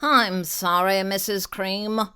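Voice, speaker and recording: A woman's voice: mid-range voice, fairly even intonation, slow pace, pushed and bright, smooth. A woman voicing an apologetic but firm male school principal in a children's storybook. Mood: regretful, firm. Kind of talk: children's storybook read-aloud.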